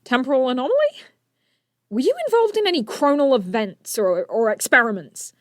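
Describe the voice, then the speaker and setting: A young woman playing an eager, brainy teenage inventor hero in an audio drama, geeky and expressive. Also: sits high, brisk, dry recording, studio quality. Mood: curious, chatty.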